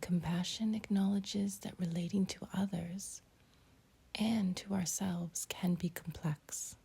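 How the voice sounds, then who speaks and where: A woman guides a meditation in a very husky, breathy voice that sits close to a whisper. Her tone is mellow and on the low side, with a creaky edge. She delivers short, gentle phrases and leaves long pauses between them. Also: fairly even intonation.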